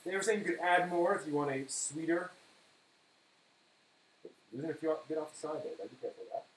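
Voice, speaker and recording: A man's voice: a little high, animated, quick pace. Rambling man in an echoey room. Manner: rambling, self-correcting, muttering to himself while working. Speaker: live stream host. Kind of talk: casual cooking demonstration, talking through steps. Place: indoor kitchen at a counter. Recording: echoey room, clear background noise.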